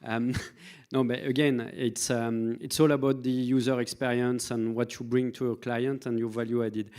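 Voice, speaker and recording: A man answering a question on a conference panel in a measured, persuasive way. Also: medium pitch, level tone, a little mellow, clean tone.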